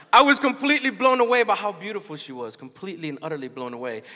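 A man in his thirties giving an animated, story-filled keynote talk to a youth conference crowd on a handheld mic. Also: high-pitched, fast. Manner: animated, storytelling, acts out quotes. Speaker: keynote speaker. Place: large dark arena stage before a young crowd. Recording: long hall reverb.

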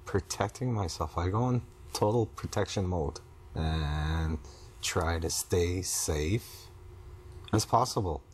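Slow, low-voiced husky middle-aged man. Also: a little hiss or hum. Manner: halting, hesitant. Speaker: client in couples therapy interview. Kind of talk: candid personal conversation. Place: small indoor TV studio living-room set.

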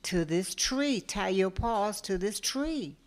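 A woman's voice: medium pitch, animated, takes it slowly. A woman voicing a frightened but cunning farmer in a folktale, speaking carefully and stammering at times. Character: frightened, cunning man farmer tricking a tiger.

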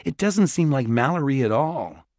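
A man voicing an anxious, know-it-all young boy in an audiobook, his voice high and strained. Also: expressive, normal speed, a little mellow, dry recording, clean studio sound, close to an everyday voice.

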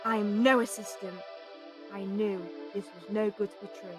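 A woman's voice: mid-range voice, fairly even intonation, moderate pace, over music, natural voice. A woman acting a feisty, sarcastic travelling companion in an audio drama, indignant and easily exasperated. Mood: wry, irritated. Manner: indignant, sardonic. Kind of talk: full-cast audio drama.

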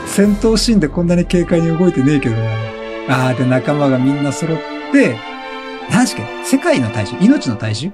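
A man hosting a let's play with excited, exclamatory commentary, often repeating words in bursts. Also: a little high. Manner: laughs often while talking.

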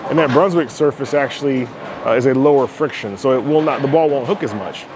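Male reviewer, casual explanatory demo talk, average pitch, clear background noise of a bowling alley. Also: fairly quick. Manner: explanatory, many fillers and "right?" asides. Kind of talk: casual product demo commentary.

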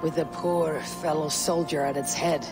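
A proud viking fighter lady for a game, low husky voice, clipped n dry, music behind. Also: steady tone, moderate pace. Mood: wry, probing. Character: stoic, proud female Viking warrior, wry and probing. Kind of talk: video game cutscene acting.

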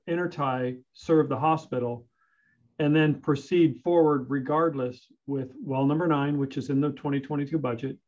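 Higher-voiced man on a dull video call. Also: darkish tone. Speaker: city public works staff member. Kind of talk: measured meeting discussion.

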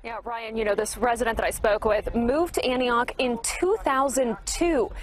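A woman's voice: fairly high pitch, steady tone, moderate pace. A woman field reporter giving a live television news report from outdoors. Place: outdoors, street with trees.

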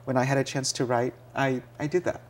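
Soft-spoken man in his thirties, guest poet. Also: medium pitch, a little fast. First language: Vietnamese. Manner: relaxed, thoughtful, many fillers. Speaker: poet and editor. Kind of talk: TV interview guest. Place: small TV studio set. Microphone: lapel mic.

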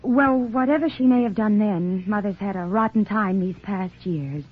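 An actress playing an earnest, guarded young engaged daughter in a 1940s radio drama, on a tinny old recording. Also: female voice, medium pitch, moderate pace, breathy edge, natural voice.

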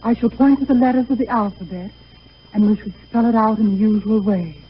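A woman's voice: fairly high pitch, fairly quick, close to an everyday voice. An actress playing a solemn, questioning woman at a séance in a 1930s radio drama. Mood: solemn, expectant. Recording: noisy old 1930s radio recording, muffled.

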